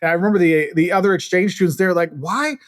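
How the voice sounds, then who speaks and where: A man's voice: high-pitched, racing, no echo, clean studio sound. A middle-aged American man chatting casually as an interview guest, telling stories and acting out bits of dialogue. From Illinois, United States. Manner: animated storytelling, acting out quoted dialogue. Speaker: guest, travel expert. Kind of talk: casual interview chat with anecdotes.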